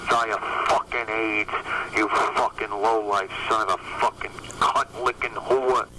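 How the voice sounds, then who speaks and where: A man's voice: on the low side, steady tone, measured pace. A man leaving a furious, abusive, profane message on an answering machine. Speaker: caller leaving a threatening message. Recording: very noisy answering-machine recording.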